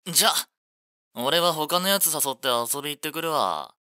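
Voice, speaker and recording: Breezy young best friend played by a male actor: bright, teasing, casual delivery, dry sound, for audio drama. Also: fairly high pitch, expressive, normal speed, no echo. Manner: casual, chatty. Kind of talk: Japanese audio drama acting.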